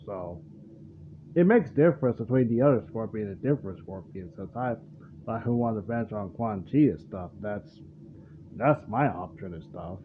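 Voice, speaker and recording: A man's voice: fairly low pitch, animated, moderate pace, a little hiss or hum. A man hosting a reaction video, commenting casually in a loose, rambling way and often repeating himself. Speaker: host of a reaction channel. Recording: muffled, phone-like narrow sound.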